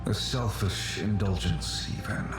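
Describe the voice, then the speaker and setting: An actor playing a gentle, melancholy ghost of an ancient warrior in a video game, speaking formally and solemnly. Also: male voice, on the low side, measured pace, lightly acted. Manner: formal, solemn, old-fashioned diction. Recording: echoing voice with music underneath.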